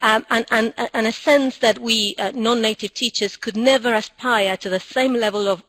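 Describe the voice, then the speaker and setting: Higher-voiced woman giving a talk, dry sound. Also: fairly even intonation, normal speed, a bit bright, no echo, rough recording. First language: not English. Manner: earnest, thoughtful, frequent ums and self-corrections. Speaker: conference speaker. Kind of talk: webinar conference talk. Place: indoors, recording from Cambridge.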